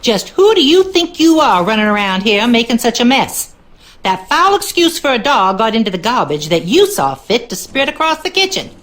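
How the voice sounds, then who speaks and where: Bossy, high-pitched scolding housekeeper. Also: female voice, big pitch swings, moderate pace, fairly bright tone. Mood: indignant. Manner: scolding, emphatic. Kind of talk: video game character acting.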